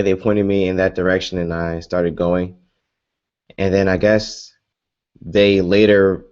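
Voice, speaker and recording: A man's voice: low voice, a little mellow, muffled recording. A young American man chatting casually in a live Q&A vlog, rambling with many fillers and restarts. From United States. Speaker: vlogger.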